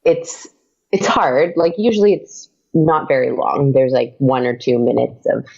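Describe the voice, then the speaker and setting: Gravelly-voiced woman guest, lo-fi audio. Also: extremely low pitch, steady tone, measured pace, a little mellow. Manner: hesitant, many fillers and restarts. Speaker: podcast guest, audio drama creator. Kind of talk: casual interview chat.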